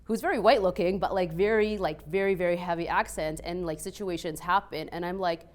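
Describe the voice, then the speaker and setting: A woman's voice: on the low side, a little fast. A woman in her thirties from Egypt with a light Egyptian Arabic accent, telling personal stories on a panel in a chatty way, full of "like" and "you know". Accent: slight Egyptian Arabic, mostly Canadian-sounding. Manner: animated and chatty, many "like" and "you know" fillers, acts out quoted exchanges. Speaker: panelist, restaurant owner and peace scholar. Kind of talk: casual personal storytelling on a panel.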